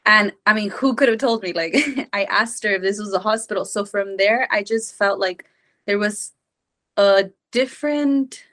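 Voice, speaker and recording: A woman's voice: mid-range voice, normal speed, fairly bright tone. A woman in her twenties from Mexico, a podcast guest telling her life story in an earnest, rambling way with many fillers. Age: 20s. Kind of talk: casual personal storytelling.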